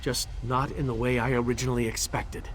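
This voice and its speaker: An actor playing a brave, earnest knight in a fantasy video game, a self-sacrificing champion with a heroic manner. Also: male voice, medium pitch, flat delivery, brisk, slight background noise, natural voice. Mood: earnest, resolute. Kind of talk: video game character acting.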